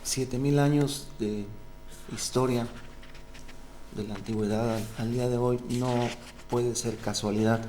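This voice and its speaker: A man defends his doctoral thesis, explaining earnestly and pausing on fillers. His voice sits at a middle pitch. A faint hum runs under the recording. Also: darkish tone, poor audio. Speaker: doctoral candidate.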